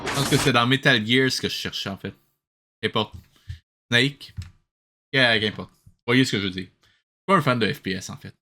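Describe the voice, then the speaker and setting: A man's voice: medium pitch, moderate pace, long pauses, pushed and bright, soft background music. A man doing casual, amused reaction commentary, rambling and often interrupting himself. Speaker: host reviewing an old cartoon.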